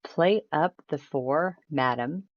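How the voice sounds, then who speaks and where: A woman's voice: sits low, takes it slowly. A woman voicing a cordial, pushy young male ghost of a traveling salesman, businesslike in manner. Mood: prompting. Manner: businesslike, prompting. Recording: roomy echo, slightly dull sound.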